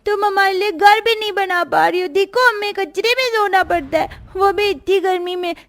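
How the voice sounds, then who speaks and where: A woman's voice: very high-pitched, normal speed, a bit bright, pitched above the performer's own voice. A woman voicing a whiny, upset five-year-old orphan girl, childish and complaining, in a cartoon. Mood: angry sulking. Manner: childish, babyish wording, complaining.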